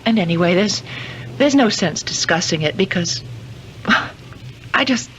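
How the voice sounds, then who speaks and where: An actress playing an earnest, devoted young American sweetheart, tender and reasoning, in a radio drama. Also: female voice, medium pitch, lively intonation, unhurried, close to an everyday voice. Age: young adult. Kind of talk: 1970s radio drama acting. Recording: dull, faintly noisy old radio recording.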